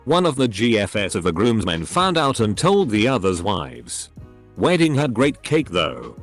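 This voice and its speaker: A man with a lowish, lively voice narrates personal stories from the internet. His pitch moves around freely as he reads the script. Faint music plays behind him.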